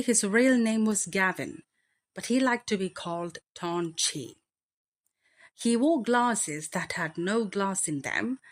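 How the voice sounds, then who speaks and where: A woman reading a children's bedtime story aloud with deliberate, expressive phrasing. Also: medium pitch.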